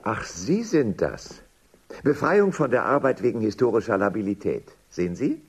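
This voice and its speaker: An actor playing a smug, menacing boss of a dystopian organisation in a radio drama, speaking with theatrical, drawn-out emphasis. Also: male voice, on the low side, sing-song, heavily acted. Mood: sardonic, condescending. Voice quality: gravelly, husky. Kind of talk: German radio drama acting.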